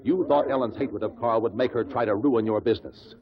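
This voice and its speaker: An actor playing a shrewd, relentless investigator who questions witnesses deliberately in a 1950s radio drama. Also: male voice, mid-range voice, close to an everyday voice. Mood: accusing, insistent. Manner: deliberate, probing courtroom questioning. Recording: muffled vintage 1950s radio recording.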